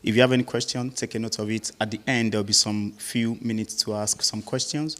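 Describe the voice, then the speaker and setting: Male event host, lowish voice, informally putting questions to a guest live. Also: flat delivery, fairly quick, a bit bright.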